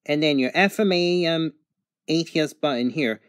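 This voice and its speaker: A man's voice: fairly high pitch, normal speed, no echo, clean studio sound. A man giving a casual, plain, step-by-step product demonstration, as a reviewer recording indoors.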